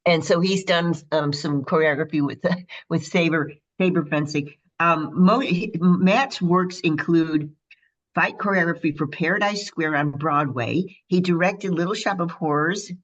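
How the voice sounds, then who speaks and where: A woman's voice: lowish voice, a little slow, muffled recording. A woman with a Midwestern accent hosting a radio interview, chatty and bubbly, with frequent fillers. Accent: Midwestern American. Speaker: radio show host.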